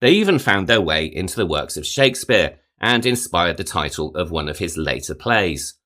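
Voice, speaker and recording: A man hosting a podcast, reading a scripted narration in a measured way, each phrase rising and then falling away at the end. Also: sits low, sing-song, pushed and bright. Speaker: podcast host.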